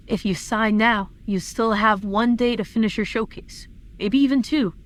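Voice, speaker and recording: A woman's voice: a little high, normal speed, natural voice. A woman playing a concerned young female friend in an audio drama, speaking earnestly and persuasively. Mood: urging. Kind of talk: acted dialogue, fan audio drama.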